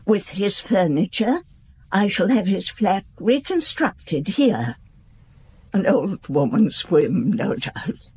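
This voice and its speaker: An elderly noblewoman, slow and husky, with expressive rise and fall. She is gracious, composed and wistful, every phrase formal, in radio drama style. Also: medium pitch, heavily acted. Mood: resolute, wistful. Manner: formal, composed, unhurried, frank. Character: gracious, dignified, wistful elderly female aristocrat.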